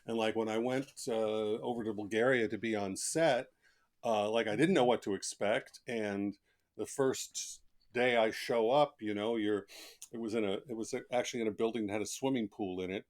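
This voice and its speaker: Low-voiced man in conversational interview. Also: steady tone, normal speed. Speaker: author, podcast guest. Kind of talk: conversational interview, reflective anecdotes.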